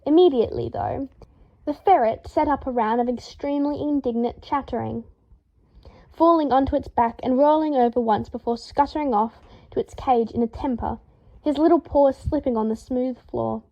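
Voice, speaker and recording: A woman reading fiction aloud as an expressive, scripted narration, with emphatic delivery. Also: high voice, moderate pace, muffled recording. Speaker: podfic narrator.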